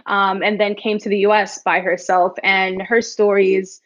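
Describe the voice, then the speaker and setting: A woman's voice: medium pitch, little pitch movement, normal speed. Female founder from New York City, earnest long answers, muffled lo-fi line. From New York City, the Bronx. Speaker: startup founder and guest. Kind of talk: podcast interview.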